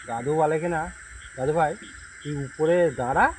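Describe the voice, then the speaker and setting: A man playing an elder friend telling a ghost story conversationally, pausing and checking that his listeners follow. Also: on the high side, close to an everyday voice. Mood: reminiscing. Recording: noisy night recording with chirping insects.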